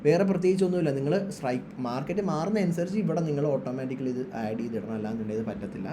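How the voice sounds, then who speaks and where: A man presents an informal how-to tutorial at a brisk clip. His voice sits at an average male pitch, and he explains step by step, dropping English terms into his sentences. Clear background noise runs under the recording. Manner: instructional, mixes English trading terms into speech. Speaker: trading tutorial presenter, trader. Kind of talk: informal screen-share tutorial.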